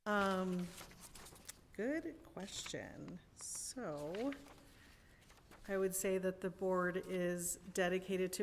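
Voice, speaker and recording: A woman applying for a volunteer board, answering interview questions hesitantly with many ums and restarts. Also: on the low side. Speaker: board applicant. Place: city council chamber. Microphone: podium mic. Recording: echoey council chamber.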